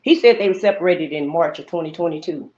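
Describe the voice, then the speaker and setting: A woman's voice: low voice, animated, fast talker, a little mellow. A woman chatting casually on a livestream panel, questioning and insistently returning to her point. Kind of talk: casual chat. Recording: muffled lo-fi livestream audio.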